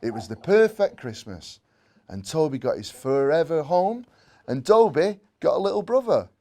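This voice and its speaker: A man reading a children's story aloud in an expressive way, with playful asides. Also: on the high side, normal speed. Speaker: story reader.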